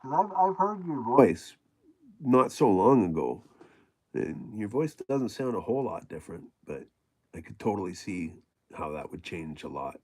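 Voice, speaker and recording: A middle-aged man with a gravelly voice, hosting a casual livestream and chatting with viewers in a rambling way full of fillers and restarts. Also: fairly low pitch, very animated, normal speed, darkish tone. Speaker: livestream host reading chat.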